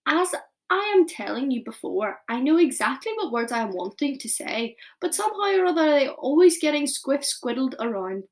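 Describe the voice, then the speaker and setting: A big, kind, very old giant booms out his words slowly and with heavy emphasis, mangling his grammar as he goes, as a woman reads him aloud. The voice sits fairly high and swoops up and down. It is a lively storybook telling. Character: old male giant, kind, muddled speech.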